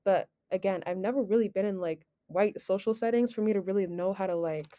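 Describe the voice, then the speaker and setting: Mellow, candid young woman. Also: mid-range voice, a little fast. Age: young adult. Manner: candid, self-deprecating, hesitant. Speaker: student guest. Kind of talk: casual podcast discussion.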